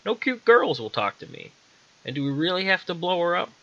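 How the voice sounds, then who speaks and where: Timid young soldier, polite and hesitant, raised bright voice acted by a man, storybook reading. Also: high-pitched, big pitch swings, fairly quick, dull audio, voice pushed up, heavily acted. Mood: timid pleading. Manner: hesitant, deferential.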